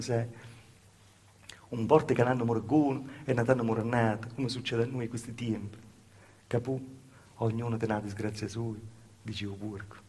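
A man's voice: medium pitch, a little mellow, slight background noise, close to an everyday voice. A man playing a Calabrian village mother, telling a folksy, repetitive, plaintive theatre monologue in dialect.

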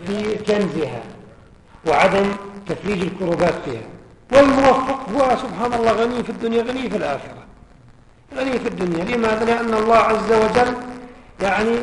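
A man's voice: high voice, reverberant, long reverb tail, slight background noise, low-quality recording. A man giving a religious lesson, explaining verses and often asking his listeners rhetorical questions. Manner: rhetorical questions to the audience, repeating verses slowly. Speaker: Islamic teacher explaining a classical poem. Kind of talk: religious lesson, formal Arabic mixed with colloquial asides.

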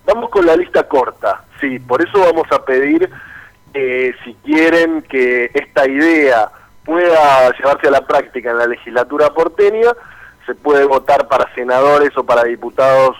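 A young man with a Buenos Aires Argentine accent speaks as a radio interviewee. His pitch is average for a man, and he lays out his answers in an articulate, explanatory manner. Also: normal speed, poor audio. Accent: Buenos Aires Argentine Spanish. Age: young adult. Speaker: political party founder and interviewee.

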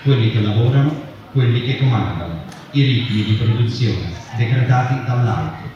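A man's voice: mid-range voice, normal speed. A man giving a staged reading of a literary text. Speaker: reader in a workers' collective. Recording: dull, distant, very echoey, crowd noise. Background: crowd noise.

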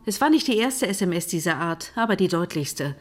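Proud, controlled murder suspect. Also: female voice, lowish voice, animated, fairly quick. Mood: controlled, indignant. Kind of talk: radio drama acting.

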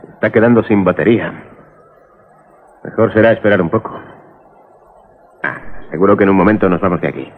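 A man's voice: low voice, brisk, pauses often, husky. An actor playing a reassuring, stubbornly hopeful father and husband in a radio drama, with an accent from Spain. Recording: noisy narrow-band old radio recording.

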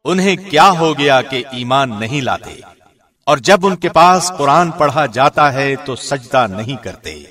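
A man's voice: medium pitch, lively intonation, fairly bright tone. Solemn man reading scripture, slightly distant. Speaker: translation reader, radio host.

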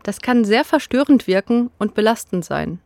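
Woman, fairly high voice, podcast presenter, scripted, serious, factual delivery. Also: lively intonation, moderate pace, creaky, a little mellow, no echo. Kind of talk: scripted introduction.

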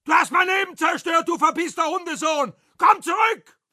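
A man's voice: very high voice, normal speed, pushed and bright, no echo, clean studio sound, exaggerated voice. An actor playing a raging, foul-mouthed madman in a crime radio drama, bellowing at his enemy. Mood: furious. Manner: bellowing, screaming insults.

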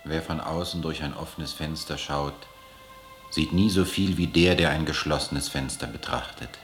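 A man reading a prose poem aloud as a solemn, measured and emphatic recitation. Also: a bass voice. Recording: echoey room, faint music behind. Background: faint music.

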